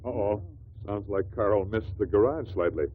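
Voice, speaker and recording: An actor playing an affectionate, wryly amused judge and husband in a 1950s radio drama. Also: male voice, lowish voice, moderate pace, husky. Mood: genial. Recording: muffled, noisy old 1950 radio recording.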